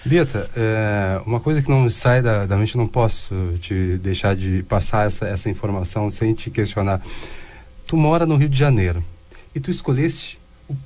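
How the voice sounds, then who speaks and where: A man with a southern Brazilian accent hosting a radio interview in the studio, friendly and measured, with some hesitant restarts. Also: lowish voice, a little mellow. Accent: southern Brazilian (Rio Grande do Sul). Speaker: radio host.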